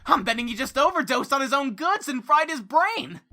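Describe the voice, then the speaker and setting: A hotheaded, scornful teenage boy voiced by a woman for an anime dub. The voice is fairly high and sharp, swinging in pitch as he mouths off bluntly. Faint music sits behind. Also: expressive, brisk, heavily acted. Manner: blunt, cocky. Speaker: voice actor in a fan dub.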